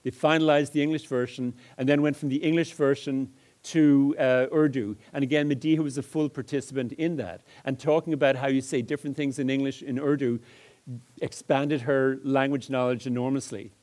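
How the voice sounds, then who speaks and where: A man delivers a public lecture in a medium-pitched voice. He explains his examples in a measured way, pausing and hesitating as he goes. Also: fairly even intonation, darkish tone. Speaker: lecturer on multilingual education.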